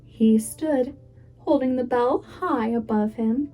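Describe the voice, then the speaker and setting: A woman reading a children's story aloud as a preschool teacher would, with dramatic, deliberate expression. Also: fairly high pitch, warm tone. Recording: music behind the voice. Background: music.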